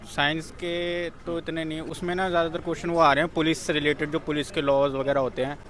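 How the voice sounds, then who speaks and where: A young man speaks in a moderately high voice. He answers questions casually as a candidate in an outdoor interview. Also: level tone, a little fast, a bit bright. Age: young adult. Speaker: exam candidate being interviewed. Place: outdoors on a street.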